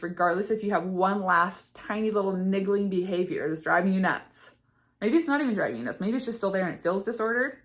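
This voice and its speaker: A woman recovery coach talking casually to camera, her voice slightly hoarse as she loses it, earnest and full of fillers and restarts. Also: on the low side. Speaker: eating disorder recovery coach.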